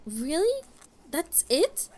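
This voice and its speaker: A feisty halfling spellcaster from a fantasy audio drama, acted by a woman. The voice is very high and swings about expressively, with a sassy, incredulous, outspoken edge. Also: big pitch swings, extremely slow, dark tone, heavily acted. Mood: incredulous, scornful.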